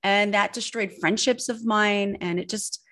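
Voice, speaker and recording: A woman's voice: medium pitch, fairly even intonation, a little slow, a bit bright. A middle-aged woman who grew up in Northern California, speaking as an interview guest and telling her life story in an earnest, confessional way. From Switzerland, grew up in Northern California. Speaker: guest, personal branding expert.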